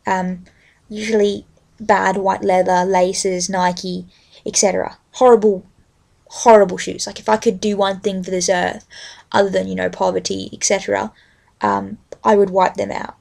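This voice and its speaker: A young adult woman with an Australian accent, speaking as a vlogger in a halting, unscripted-sounding way. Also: medium pitch, level tone, creaky, darkish tone. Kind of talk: casual rambling chat with a read-aloud book passage.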